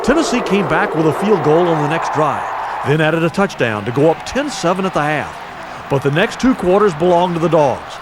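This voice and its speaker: A man reading scripted documentary narration in a measured, smooth manner. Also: a little high, lively intonation, a crowd in the background, noisy background.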